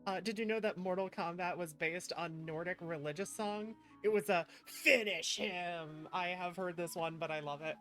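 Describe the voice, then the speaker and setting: A woman's voice: fairly low pitch, moderate pace, hardly any pauses, a bit bright. A woman streamer chatting casually and reacting expressively as she plays a game. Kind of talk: casual game livestream chat. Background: game music.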